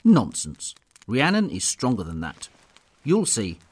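A man's voice: mid-range voice, huge pitch swings, a little slow, a little mellow, husky, heavily acted. A man playing a dismissive, self-assured middle-aged noble father in an audio drama.

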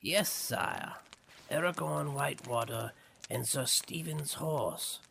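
A man's voice: medium pitch, slow pace, vocal fry, bright, forceful tone, a little hiss or hum, heavily acted. A man playing a deferential, rustic stable servant with archaic phrasing in an audio drama. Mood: respectful, concerned.

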